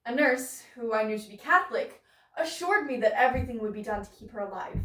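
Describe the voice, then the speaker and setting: Woman playing a grieving, embittered woman, stage monologue, deliberate and confiding, slightly high voice, strong room echo. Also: animated, sharp tone. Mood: sorrowful, restrained. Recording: roomy echo, distant microphone.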